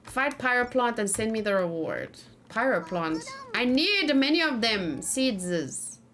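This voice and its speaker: A woman doing a casual let's play, excitable and thinking aloud, often repeating her phrases as she goes. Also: fairly high pitch, measured pace, slight background noise. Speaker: game streamer, host. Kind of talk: casual let's play chat and live game commentary.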